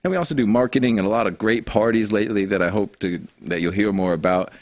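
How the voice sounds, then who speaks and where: Young male guest, low-pitched, relaxed, loose delivery, dry sound. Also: quick pace, dry recording. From Seattle area. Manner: relaxed, laughs often, lots of fillers. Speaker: guest, company representative. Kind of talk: casual chat.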